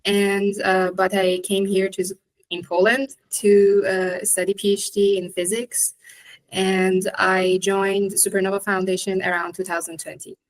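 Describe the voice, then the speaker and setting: Woman from Iran, flat delivery, halting student self-introduction. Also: mid-range voice, low-quality recording. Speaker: physics PhD student.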